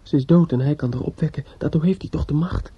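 An actor playing a grief-stricken, distraught young man in a Dutch radio drama, speaking haltingly with stammers and repetitions. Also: on the high side, slightly rough. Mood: grieving, bewildered. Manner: halting, stammering, repeating himself. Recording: dull, slightly noisy old radio recording.

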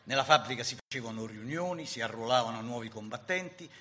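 Male narrator, mid-range and sharp, dramatic historical radio script, slightly noisy recording. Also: medium pitch, lively intonation, fast.

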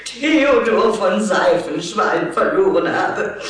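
An actress playing a sentimental, easily indignant widowed vampire aunt in an audio play, speaking in a formal, theatrical, drawn-out way. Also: female voice, medium pitch, expressive. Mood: solemn, sentimental. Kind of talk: German audio play acting. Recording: very echoey, distant from the mic.

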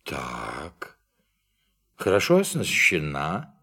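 Sceptical professor with lively, swooping delivery. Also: male voice, rumbling low, drawn-out words, gravelly, breathy edge, full character voice. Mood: incredulous. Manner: questioning, doubting, peremptory. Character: sceptical, brusque, inquisitive male professor investigating a mystery. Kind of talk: Russian radio play acting.

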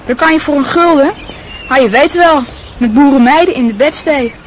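A woman's voice: high-pitched, normal speed, slight background noise, close to an everyday voice, poor audio. A woman playing a knowing, secretive schoolboy in a radio play. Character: boy, a gossiping schoolboy.

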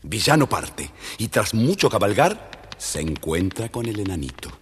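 A man with an Argentine accent narrating a scripted children's story, expressive and theatrical. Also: on the low side, moderate pace, breathy edge, long reverb tail.

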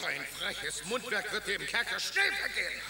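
An actor playing a greedy, pompous fortune-teller in a radio play who barks orders and demands money. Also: male voice, high-pitched, huge pitch swings, normal speed, sharp tone, exaggerated voice. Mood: commanding. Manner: pompous, haughty, barking orders.

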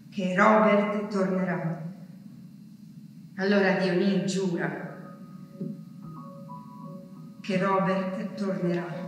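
An actress performing a theatrical monologue as an anguished wartime woman waiting for her missing husband, with slow, halting, intense delivery. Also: mid-range voice, natural voice. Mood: tense, weary. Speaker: stage actress. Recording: echoey hall, distant mic, faint noise.

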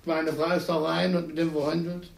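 A man's voice: on the high side, flat delivery, brisk, gravelly. A man telling a casual anecdote in a boastful, colloquial way. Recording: distant field recording with music behind.

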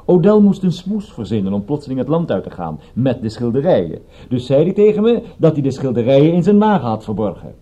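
Wary police inspector, dull recording. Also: male voice, mid-range voice, sing-song, fairly quick, few pauses, warm tone. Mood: official, firm. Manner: matter-of-fact, official, chiding. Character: wary, exasperated English police inspector, a man. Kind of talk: radio drama acting.

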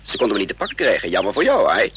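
A man's voice: on the low side, wildly swooping pitch, racing, background noise. An actor playing a resolute, bitter man on the run in a radio drama, with intense, clipped, dramatic delivery. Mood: bitter, confrontational. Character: hunted male amnesiac double, resolute, driven, bitter. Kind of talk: radio drama acting with first-person narration.

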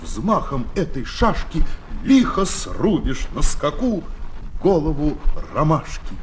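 A man with a somewhat high voice delivers children's verse at a slow pace. Faint music plays behind him on an old disc recording.

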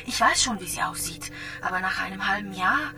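An actress playing a gravely ill young mother in a radio drama, resigned, wounded and plainly confiding. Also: mid-range voice, lively intonation, a little fast. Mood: resigned, wistful. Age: young adult. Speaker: hospital patient. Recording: distant from the mic, music behind.

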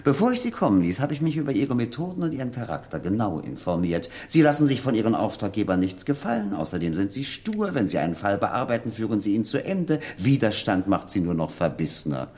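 An actor playing a smug, domineering small-town millionaire gangster in a 1970s German radio drama, pompous and self-satisfied. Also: male voice, on the low side, very animated, fairly quick, few pauses, a little mellow.

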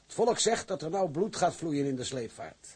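An actor playing an earnest bearer of harbour news in a period drama, husky and declamatory. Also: male voice, on the high side, lively intonation, moderate pace, darkish tone. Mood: earnest, stirred. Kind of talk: acted period drama dialogue.

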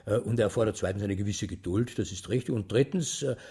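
A man's voice: lowish voice, fast talker, mellow, husky. A man giving a methodical interview answer about literature in elevated, carefully chosen language.

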